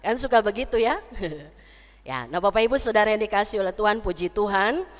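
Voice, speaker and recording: A woman's voice: medium pitch, a little fast. Nasal, congested woman pastor in a church. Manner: chatty with the congregation, tags lines with "ya" and "gitu kan". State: nasal and congested from losing her voice. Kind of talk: church service prayer and sermon. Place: church sanctuary. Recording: long church hall reverb.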